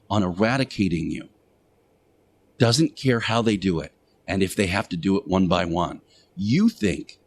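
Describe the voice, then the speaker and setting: A man delivering a sermon-like radio monologue as a show host, speaking emphatically and preachily with deliberate rhetorical repetition. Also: fairly low pitch, a little mellow, a little hiss or hum.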